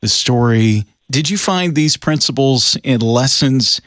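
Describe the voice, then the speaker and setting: A man with a medium-pitched voice interviews a guest as a radio host. His delivery is casual and conversational. The recording is dry, with hardly any room sound. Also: lively intonation, a little slow, fairly bright tone, no room sound.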